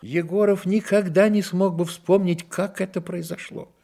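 An actor narrating a Soviet radio drama as an earnest literary storyteller. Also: male voice, high-pitched, steady tone, moderate pace, gravelly, mellow. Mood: earnest, dramatic. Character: earnest storyteller of a young man's trials.

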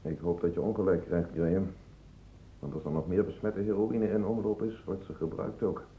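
An actor playing a grave, sober medical colleague in a radio drama. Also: male voice, sits low, steady tone, normal speed, mellow, roomy sound, a little hiss or hum, close to an everyday voice, poor audio. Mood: grave concern.

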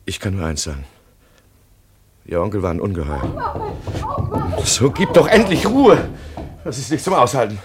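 Harried doctor, widely swinging voice. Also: male voice, on the low side, wildly swooping pitch, moderate pace, pauses often. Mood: exasperated. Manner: apologetic, pleading, strained. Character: harried, troubled provincial doctor, pleading and apologetic. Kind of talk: 1950s German radio play acting. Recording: noisy 1950s radio recording.

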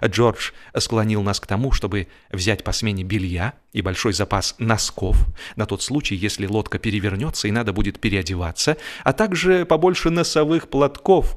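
A man narrating a humorous literary story in an expressive, theatrical storytelling manner with drawn-out emphasis. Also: on the low side. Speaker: audiobook narrator.

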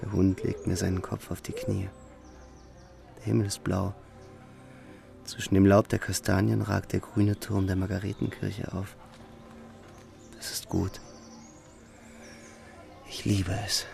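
Casting: introspective young soldier, lowish creaky voice, literary monologue over music. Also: male voice, level tone, measured pace, pauses often, heavily acted. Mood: quiet relief. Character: war-weary young soldier's brooding inner voice. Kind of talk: literary radio drama monologue.

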